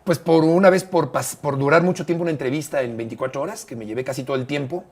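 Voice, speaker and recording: An older man with a Mexican accent, telling stories as an interview guest in a measured way, often pausing on "eh" and repeating phrases. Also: on the high side, a little mellow. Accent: Mexican Spanish. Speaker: interview guest, broadcaster and bullfight writer. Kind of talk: relaxed conversational storytelling.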